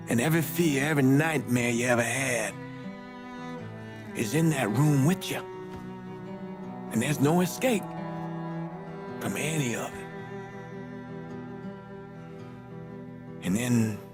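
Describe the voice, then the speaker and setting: A male actor plays an older American priest and war veteran in a video game, looking back with weary regret. He speaks very slowly and solemnly, weighing each phrase with pauses. Music plays clearly behind his mid-pitched voice. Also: natural voice. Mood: grave, haunted. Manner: measured, solemn, deliberate storytelling with pauses. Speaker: priest recounting past events in a documentary interview. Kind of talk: reflective documentary-style testimony, dramatic game acting.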